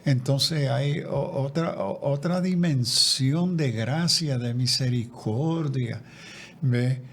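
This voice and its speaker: A man's voice: medium pitch, slow pace. A man speaking as a guest theologian in a conversational interview, reflective, often restarting and repeating words. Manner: reflective, with frequent restarts and repetitions.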